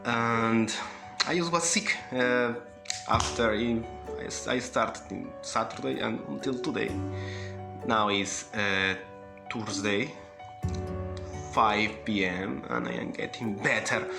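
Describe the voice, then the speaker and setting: A man's voice: lowish voice, gravelly. Mexican man chatting, roomy with music. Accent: Mexican Spanish. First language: Spanish. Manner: halting non-native English with frequent fillers and laughs. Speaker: vlogger. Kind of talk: casual storytelling vlog. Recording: roomy echo with loud background music.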